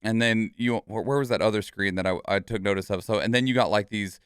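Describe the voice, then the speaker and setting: A man's voice: fairly low pitch, fast, smooth, no echo, clean studio sound. A man giving casual live reaction commentary as a streamer, thinking aloud in a rambling, conversational way. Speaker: streamer and reaction video host.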